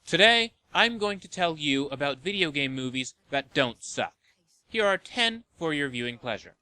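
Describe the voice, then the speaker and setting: A man's voice: a little high, animated, normal speed, pushed and bright, no echo. A man hosting and narrating a scripted video essay in an opinionated, sardonic manner. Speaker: host of a video game movie list show.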